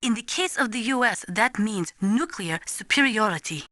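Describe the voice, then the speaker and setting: A serious female weapons analyst in a video game. Her voice is bright and crisp-edged, with lively movement in pitch, recorded very dry. She lectures formally and precisely, in earnest. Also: normal speed, no room sound. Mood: grave, explanatory. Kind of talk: scripted expository video game dialogue.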